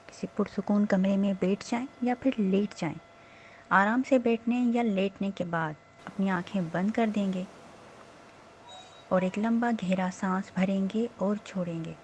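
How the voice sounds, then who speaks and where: A woman with a soft-edged, mellow voice at a medium pitch leads a guided meditation. She gives instructions slowly and soothingly, leaving pauses between phrases. A faint layer of background noise sits behind her. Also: rough recording. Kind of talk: slow guided meditation narration.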